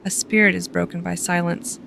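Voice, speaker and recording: A man giving slow, solemn scripted narration for a documentary. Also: sits high, flat delivery, vocal fry, bright, forceful tone, slight background noise.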